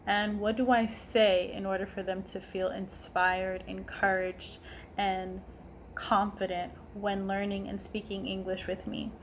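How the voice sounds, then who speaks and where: A woman's voice: mid-range voice, vocal fry, slight background noise. A woman in her twenties, an online language teacher, talking casually and earnestly to her viewers. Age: 20s. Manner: earnest and heartfelt, asks herself questions. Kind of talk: casual talk to camera.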